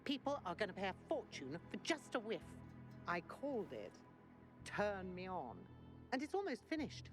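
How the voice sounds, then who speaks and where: A woman acting as an eccentric middle-aged perfumer in a game. Her pitch swings freely as she savours each word with dreamy, theatrical grandeur, music playing clearly behind her. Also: big pitch swings, normal speed, natural voice. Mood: enthusiastic. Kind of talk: video game cutscene acting.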